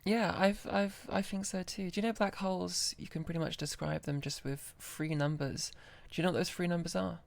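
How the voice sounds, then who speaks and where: A woman casually questioning a conversation partner as an interviewer, polite, curious and gently persistent. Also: on the low side, fairly even intonation, brisk, gravelly, no echo. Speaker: interviewer testing an AI voice.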